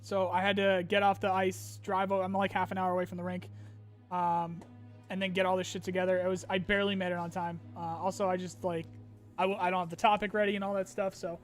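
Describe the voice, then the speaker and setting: Casual male streamer, high pitch, a bit tired and strained, reads chat questions and riffs with lots of ums, faint music behind. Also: level tone, fast talker. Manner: reads viewer questions aloud then answers casually with fillers.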